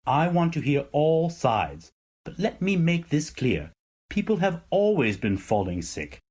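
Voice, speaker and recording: An actor playing an evasive, self-important male president in an audio drama, with a pompous, dismissive way of speaking and a husky voice. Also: a little high, sing-song, unhurried, a little mellow. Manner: pompous, dismissive politician's oratory. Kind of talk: audio drama acting, political interview.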